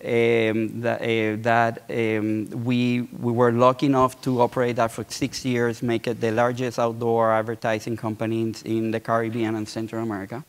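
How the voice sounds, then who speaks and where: A man in his forties from Puerto Rico speaks on an auditorium stage through a lapel mic. His voice has a gravelly, fry-edged texture at a middle pitch. He talks loosely, with frequent restarts and repeated words. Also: completely flat delivery, moderate pace, few pauses. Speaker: guest entrepreneur. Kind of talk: conference fireside chat.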